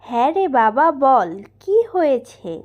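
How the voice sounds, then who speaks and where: A woman's voice: sits high, big pitch swings, measured pace, lo-fi sound. A woman voicing a concerned, caring village mother of a grown son in a cartoon.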